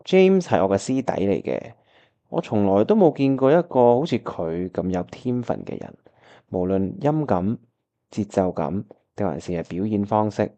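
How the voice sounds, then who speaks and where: A man's voice: fairly low pitch, big pitch swings, moderate pace, warm tone, muffled recording. A man with a Hong Kong Cantonese accent acting a disheartened, wistful time traveller who narrates his own radio drama. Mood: reflective narration. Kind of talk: first-person radio drama narration and dialogue. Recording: music playing behind the voice.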